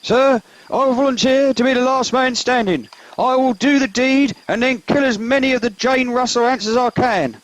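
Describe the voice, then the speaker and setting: A man playing an eager, excitable old veteran soldier in a comedy radio play, keen and blustering. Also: extremely high pitch, poor audio.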